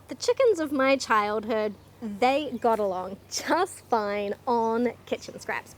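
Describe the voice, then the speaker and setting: A middle-aged woman from New Zealand speaks with her local accent in a fairly high voice. She hosts a casual explanatory vlog, sounding chatty and down-to-earth, and gives her opinions plainly. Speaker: video host, chicken keeper.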